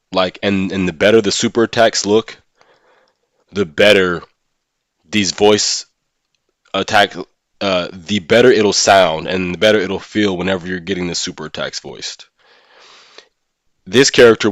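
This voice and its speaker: A man with a fairly deep voice talks as a livestreamer in a casual discussion. He thinks aloud in an opinionated, conversational way, often checking in with his listeners. The sound is dry, with very little room around the voice. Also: no room sound. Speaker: live streamer and gaming content creator.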